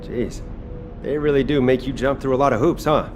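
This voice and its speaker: An actor playing a cocky, playful fire-wielding cook and fighter in a video game, with a flippant, teasing way of talking. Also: male voice, medium pitch, steady tone, moderate pace, lightly acted. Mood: easygoing. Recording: loud game music behind the voice.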